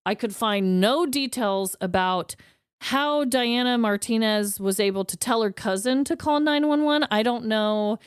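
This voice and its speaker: A woman co-hosting a podcast in casual chat, animated and quick to laugh. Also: a little high, smooth, dry recording, studio quality. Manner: chatty and animated, laughs easily. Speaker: podcast co-host.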